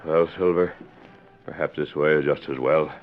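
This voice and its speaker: An actor playing a masked western hero in a 1940s radio drama, measured and solemn, gentle with his horse. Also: very deep voice, steady tone. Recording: dull, phone-like old radio recording, clear noise.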